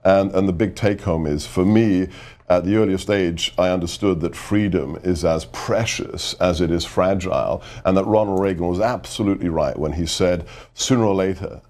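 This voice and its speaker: A man's voice: low-pitched, normal speed, darkish tone. A middle-aged man from the United Kingdom, speaking as a guest in a televised political interview. He argues in an emphatic, insistent way and repeats phrases for effect. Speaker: guest, former government adviser.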